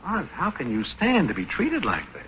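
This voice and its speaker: A man's voice: on the high side, huge pitch swings, moderate pace, a bit bright. An actor playing a breezy, teasing male neighbour and handyman in 1940s radio sitcom style. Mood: jaunty, teasing. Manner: jaunty, teasing. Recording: dull, very noisy 1940s radio recording.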